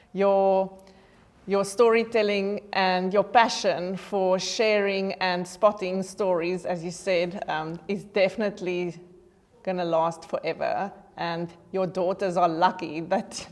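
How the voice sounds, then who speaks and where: Slow-spoken woman podcast host. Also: on the low side. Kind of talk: interviewing a guest.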